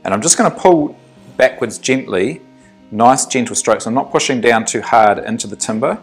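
A man in his thirties with a New Zealand accent, explaining a woodworking project step by step in a workshop, relaxed and thinking aloud with the odd um. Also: mid-range voice, normal speed, soft background music. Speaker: woodworking instructor. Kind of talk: workshop tutorial. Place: small indoor workshop.

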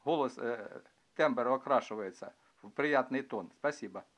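A man chatting casually as an amateur radio operator in a halting, hesitant way, recorded indoors at his desk. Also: mid-range voice, background noise. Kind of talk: casual ham radio chat, asking questions.

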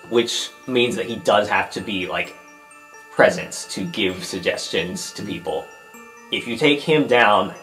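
A man's voice: lowish voice, fairly bright tone. A man narrating a tabletop roleplay podcast as its game master. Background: background music.

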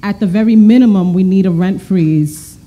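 A woman's voice: medium pitch, moderate pace, mellow. A woman giving earnest, emphatic prepared testimony as a community board member at a podium microphone in an auditorium. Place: large auditorium.

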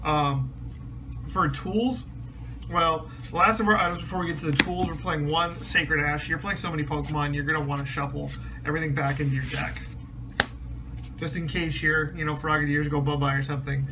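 A man's voice: fairly high pitch, steady tone, brisk, background noise. A man casually explaining a trading card deck, rambling with many ums and restarts, his voice a bit strained. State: slightly losing his voice, clears throat. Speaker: card game deck reviewer.

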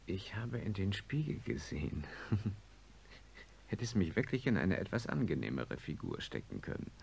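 An actor playing a wealthy, impatient industrialist in a radio drama, with a commanding manner. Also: male voice, deep voice, sing-song, moderate pace, vocal fry, a little mellow, exaggerated voice. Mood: smug, elated. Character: wealthy industrialist reborn in a young body, imperious, impatient. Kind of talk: German radio drama acting. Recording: hissy radio play recording.

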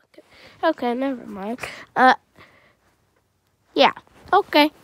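Slow-talking stuffy-voiced woman reviewer. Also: high voice, expressive, no room sound. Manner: rambling, chatty, clears throat often. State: stuffy from a cold. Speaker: channel host reviewing a music game. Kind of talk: casual game review.

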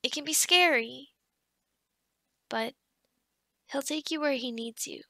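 A woman plays a kind, encouraging female boss in an intimate ASMR office roleplay. Her voice is high and bright with plenty of fry, close and dry. She talks in a soft, chatty way, pausing and hesitating as she goes. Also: no room sound, full character voice. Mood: reassuring, gentle. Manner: hesitant, conversational, with sighs and pauses.

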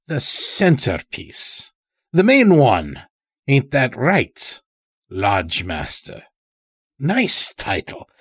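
A man performs a weary, sly old man from a dark audio story. His pitch is lifted and swings widely, and he rambles along in folksy, clipped grammar. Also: husky, no room sound, studio quality, exaggerated voice. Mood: sly, needling. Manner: folksy, rambling, dropped words.

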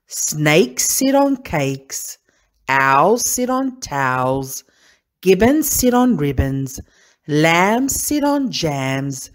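A woman voicing a know-it-all storybook cat who reels off rhymes slowly and emphatically in a read-aloud picture book. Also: deep voice, exaggerated voice. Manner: slow, emphatic rhyming.

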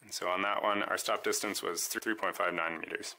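A man calmly walking through how his homemade device works, step by step, in a voice-over demo. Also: low-pitched, a little fast, low-quality recording. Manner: calm, methodical step-by-step explaining. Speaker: maker demonstrating his device.

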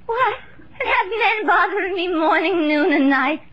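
A woman's voice: very high voice, lively intonation, a little slow. An actress playing a brash, sardonic, man-hungry woman in a 1950s radio sitcom. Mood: boastful. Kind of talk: 1950s radio comedy acting. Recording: dull 1950s radio recording.

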